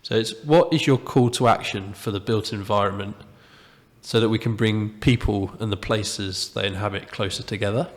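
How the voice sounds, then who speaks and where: A man hosting a podcast, asking questions in a friendly, halting way. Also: lowish voice, fairly even intonation. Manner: friendly, halting, repeats words. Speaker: podcast host. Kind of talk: interview questions. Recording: echoey room with long reverb.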